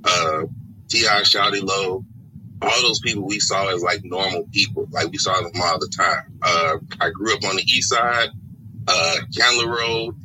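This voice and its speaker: A man from Atlanta with an Atlanta Southern accent, a podcast guest talking in a rambling, animated way and laughing often. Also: low-pitched, a little slow. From Atlanta, Georgia. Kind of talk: casual storytelling chat. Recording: phone call with faint background noise.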